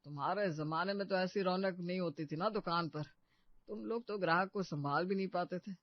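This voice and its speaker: A man voicing a jovial, boastful older father who runs a shop, bragging in a showy, drawn-out way in a story cartoon. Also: high-pitched, no echo, muffled recording. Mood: boastful, needling.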